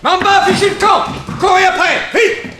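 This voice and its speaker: A man's voice: very high voice, fairly even intonation, moderate pace, sharp tone, a little hiss or hum. A man playing a bullying, impatient police chief who barks orders in a French radio drama. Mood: barking, furious. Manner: barking orders, blustering.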